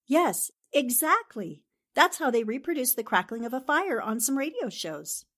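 A woman's voice: high voice, sing-song, normal speed, fairly bright tone, exaggerated voice. A woman playing a sensible, knowing 1940s newspaperwoman in a radio drama, composed and a little chiding.

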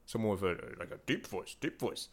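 A man with a deep voice, a guest director joking his way through a mock interview. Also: lively intonation, brisk. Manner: rambling, joking.

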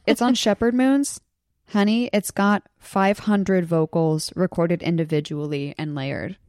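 A young woman from Southeast Alaska, a podcast guest chatting casually and excitedly, often laughing as she tells stories. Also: lowish voice, a little slow, clear, resonant tone, no echo, studio quality. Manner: excited, storytelling, breaks into laughter. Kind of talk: casual chat.

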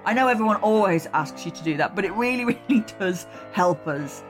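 A woman with a Yorkshire accent hosting a podcast, asking questions and signing off with enthusiasm and warmth. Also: medium pitch, expressive, a little fast, over music. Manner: enthusiastic, friendly. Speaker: podcast host. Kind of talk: podcast interview and outro.

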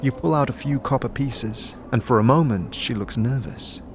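A man's voice: medium pitch, normal speed, background noise. A man narrating a fantasy audio drama in dramatic, scripted second person, as a storyteller. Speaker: narrator, game master. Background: music bed.

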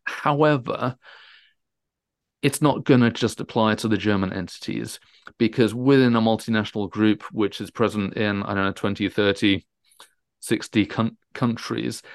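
A man speaking as an expert guest in an interview, emphatic, often repeating and rephrasing his words haltingly. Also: fairly low pitch, vocal fry, no room sound. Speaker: transfer pricing specialist, interview guest.

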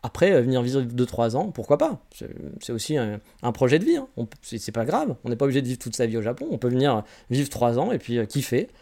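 Forties, male, from France; solo podcast host, quick and chatty, frank rambling advice. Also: mid-range voice, sing-song, dark tone. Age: 40s. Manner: candid, rambling advice with frequent restarts. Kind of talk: casual solo podcast answering listener questions.

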